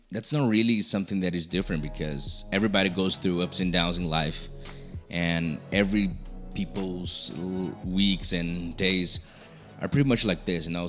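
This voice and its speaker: Young brazilian guy with a low voice, vlogging in english, lots of restarts, faint music under it. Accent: Brazilian Portuguese. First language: Portuguese. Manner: halting, many restarts and fillers. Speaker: YouTuber. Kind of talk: casual talk to camera.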